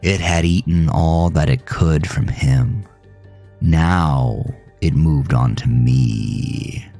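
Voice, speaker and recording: Deep, gravelly man narrating somber horror. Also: steady tone, mellow. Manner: somber, dramatic, deliberate phrasing. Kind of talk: first-person horror audio drama narration. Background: music.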